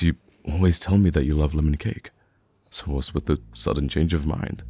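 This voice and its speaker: A man's voice: a bass voice, creaky, warm tone, dry recording, heavily acted. A man playing a playful, teasing young man bantering casually with a close friend in a conversational audio roleplay. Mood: playful disbelief. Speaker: ASMR roleplay voice actor. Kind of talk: conversational ASMR roleplay acting.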